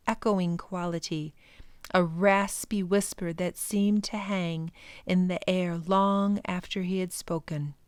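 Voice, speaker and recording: Slow-paced woman reading a story aloud. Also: fairly low pitch, no room sound. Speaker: library storytime reader. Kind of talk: scripted storybook narration, read aloud.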